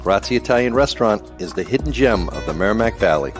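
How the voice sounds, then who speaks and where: A man with a deep voice reads scripted lines as a business owner in a radio commercial. Music plays clearly underneath. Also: animated, fast talker. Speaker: restaurant owner. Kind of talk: scripted two-person radio ad. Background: background music.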